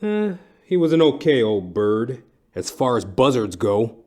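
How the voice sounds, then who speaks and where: An actor playing a wry, unruffled private detective, delivering noir-style lines in a dry, deadpan way for an audio drama. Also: male voice, mid-range voice, unhurried. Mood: wry narrating.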